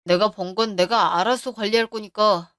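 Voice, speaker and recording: A woman's voice: medium pitch, fairly even intonation, normal speed, fairly bright tone, dry recording, clean studio sound, natural voice. A woman voicing a brash, selfish husband who brushes off his wife's worries. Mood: dismissive. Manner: dismissive, snapping.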